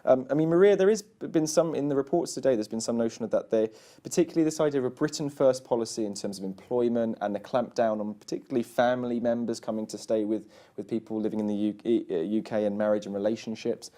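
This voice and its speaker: A male interviewer talks quickly in a warm, mellow tone. He asks long questions in a studio and restarts his phrases as he goes. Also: mid-range voice.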